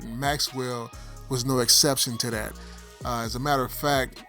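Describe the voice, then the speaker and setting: A man's voice: mid-range voice, fairly bright tone. A middle-aged man giving a casual product review, explaining things directly to viewers. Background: background music.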